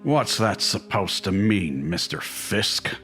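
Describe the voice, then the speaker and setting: Seasoned male reporter, played by an actor, guarded and principled, fairly low, animated delivery, probing manner. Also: takes it slowly, over music. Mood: wary, probing. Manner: pointed, questioning. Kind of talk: comic dub acting.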